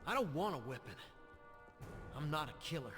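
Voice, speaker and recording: A man's voice: a little high, very animated, moderate pace, in a large hall, music in the background. An actor playing an earnest, pious young man, solemn and pleading, in a video game cutscene. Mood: resolute.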